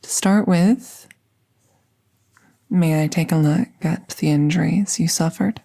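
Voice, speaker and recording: A woman playing a calm, gentle magical veterinarian in a soft, breathy, soothing ASMR-style roleplay, often half-whispering. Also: deep voice, heavily acted. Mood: gentle, careful. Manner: slow, hushed, soothing, reassuring. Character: gentle, calm female magical veterinarian treating a wounded werewolf.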